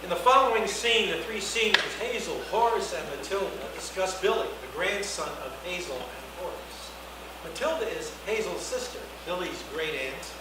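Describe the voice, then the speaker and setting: High-pitched male narrator, echoey hall. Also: animated, moderate pace. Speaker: actor reading narration. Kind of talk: scripted narration of screenplay directions. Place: large live hall at a stage reading. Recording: echoey noisy hall, distant mic.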